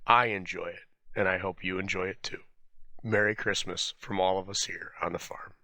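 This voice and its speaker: A man's voice: low voice, steady tone, moderate pace, sharp tone, low-quality recording. A man hosting, reading a scripted introduction. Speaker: host introducing an old radio episode.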